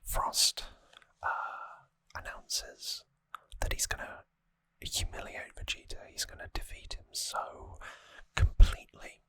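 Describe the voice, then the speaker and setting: A person whispering an ASMR recap in a hushed, breathy, conversational way, close to the microphone. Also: takes it slowly, dry recording. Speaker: ASMR host. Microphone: close, dry microphone.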